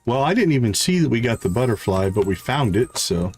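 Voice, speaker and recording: A man's voice: mid-range voice, very animated, fairly quick, darkish tone, soft background music. A man hosting a live game stream, thinking aloud in short casual fragments and chatting to viewers, with a slight catch in his throat. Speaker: livestream host, gamer.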